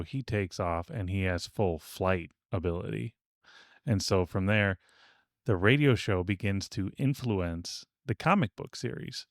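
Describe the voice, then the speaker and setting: A man co-hosting a casual podcast discussion, explaining things in a measured way. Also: lowish voice, dry recording, studio quality. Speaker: podcast co-host. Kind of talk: casual panel discussion of old radio serials.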